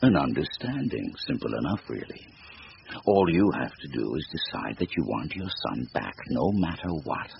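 An actor playing a sinister supernatural bargainer in a radio drama, gravelly, with a deliberate, formal, taunting delivery. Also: male voice, rumbling low, very animated, heavily acted. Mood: commanding, taunting. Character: sinister supernatural stranger, silky, devilish bargainer, bored and mocking. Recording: noisy, muffled radio drama recording.

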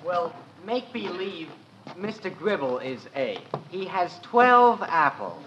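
A man's voice: high-pitched, very animated, slow pace, dull audio, noisy background, exaggerated voice. A gawky American teenage brother in a fifties family radio comedy. His delivery jumps up and down with gee-whiz emphasis, bossy yet good-hearted. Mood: impatient.

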